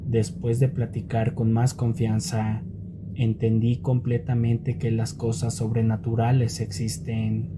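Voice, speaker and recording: A man reading scripted scary stories as a narrator, in a measured and deliberate storytelling manner. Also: medium pitch, monotone, background noise.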